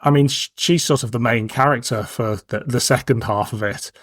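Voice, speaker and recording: A man with a medium-pitched voice chats as a podcast co-host. He talks through his opinions informally and poses questions to his partner. The recording is very dry, without room sound. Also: moderate pace, a bit bright, no room sound. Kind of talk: casual discussion, posing questions to co-host.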